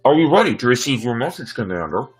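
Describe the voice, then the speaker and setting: Man voicing an obedient, husky starship computer. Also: medium pitch, very animated, fast talker, soft background music. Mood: dutiful.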